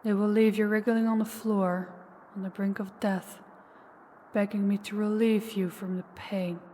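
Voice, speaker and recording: A woman's voice: medium pitch, level tone, normal speed, mellow, long reverb tail, slight background noise, close to an everyday voice. A woman playing a menacing, possessive ancient female vampire in an intimate audio roleplay, taunting and commanding, sometimes dropping toward a whisper. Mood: sadistic, controlled. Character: possessive, menacing older female vampire, toying with captive huntress.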